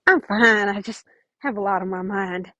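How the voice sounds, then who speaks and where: A woman's voice: mid-range voice, normal speed, bright, forceful tone, no echo, dull audio, natural voice. Woman playing a flustered, friendly teenage boy. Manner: flustered, hesitant, chatty. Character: anxious, smitten, friendly teenage boy healer.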